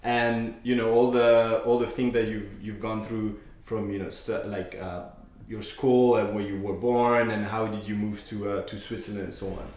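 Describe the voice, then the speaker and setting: A man's voice: fairly low pitch, fairly even intonation, normal speed, darkish tone. Hesitant male host, echoey room. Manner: hesitant, many fillers. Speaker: podcast host. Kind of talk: casual interview.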